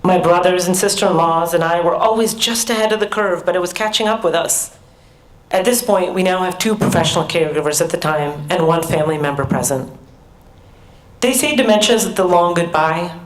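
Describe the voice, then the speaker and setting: A middle-aged American woman giving an earnest, measured personal talk as a conference speaker, with small restarts. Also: on the low side. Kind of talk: personal talk at a research summit. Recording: echoey hall.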